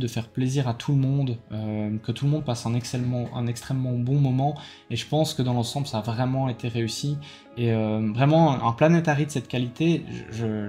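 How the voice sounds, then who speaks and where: A man's voice: medium pitch, warm tone. A tired man with a Belgian French accent gives a casual, rambling debrief to his viewers, full of hesitations and enthusiastic thanks. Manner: rambling, full of fillers and restarts, enthusiastic praise. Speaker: card game YouTuber.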